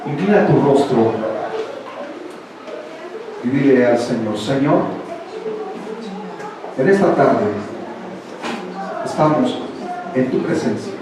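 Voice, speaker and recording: A man's voice: mid-range voice, lively intonation, slow, long pauses, noisy background. A Mexican man with a Mexican Spanish accent, preaching a sermon in church and firing rhetorical questions at the congregation. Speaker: pastor. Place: large church hall with congregation. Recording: echoey hall, distant mic, congregation audible.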